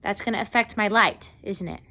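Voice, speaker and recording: A woman's voice: medium pitch, a little fast, sharp tone. A woman giving a step-by-step how-to demonstration for children, talking simply like a teacher and often checking in with little questions. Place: indoors at home.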